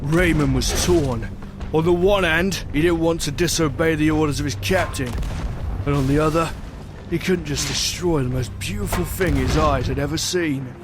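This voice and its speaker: A man's voice: a little high, moderate pace. A man narrating a scripted adventure story in a dramatic storytelling style. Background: music.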